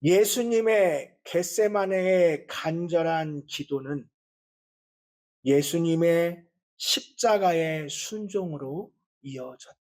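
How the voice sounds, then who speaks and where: A male pastor giving a Sunday sermon in an earnest, measured way, with a voice he calls not strong and easily strained. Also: on the high side, darkish tone. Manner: earnest, with measured pauses and frequent fillers.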